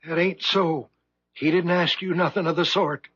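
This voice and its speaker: High, raspy, frail old watchman. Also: steady tone, normal speed, a bit bright, no echo. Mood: suspicious, reproving. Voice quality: thin, raspy. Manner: folksy, faltering, earnest. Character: frail, honest, dutiful old night watchman. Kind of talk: 1970s radio drama acting. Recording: muffled vintage radio broadcast.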